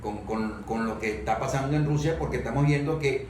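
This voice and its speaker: A Venezuelan man in a political discussion, speaking haltingly and often repeating words as he works toward his point. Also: medium pitch, darkish tone. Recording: very echoey room, distant mic, faint noise.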